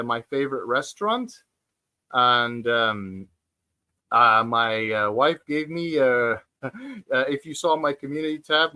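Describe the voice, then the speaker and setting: Slow, bright-voiced hesitant man at forty. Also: medium pitch. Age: 40s. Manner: hesitant, many fillers and restarts; reads out viewer comments. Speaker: livestream host. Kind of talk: casual livestream chat. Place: at home, sitting on the floor.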